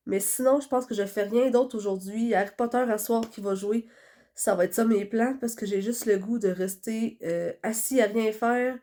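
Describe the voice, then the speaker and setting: Young quebec girl vlogging with a cold, nose all blocked, chatty and rambly, slightly high voice. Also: dark tone. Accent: Quebec French. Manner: chatty, rambling, many fillers. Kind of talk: casual chat to camera. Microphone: phone camera.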